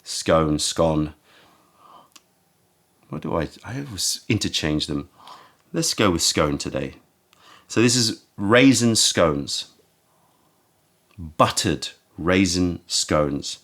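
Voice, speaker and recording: A man hosting a casual tea tasting, his voice croaky from having just woken up. He talks slowly and musingly, often stopping to search for the right word. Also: low voice, animated, a bit bright. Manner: slow, musing, searching for words. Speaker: tea shop host.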